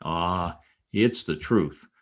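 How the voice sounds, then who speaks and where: A man playing a vain newspaper columnist in an old-time radio comedy, blustering and easily flustered by flattery. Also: low voice, extremely slow, exaggerated voice. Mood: smitten, pleased. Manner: animated, blustering, hesitant when flattered. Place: home, online video-call production.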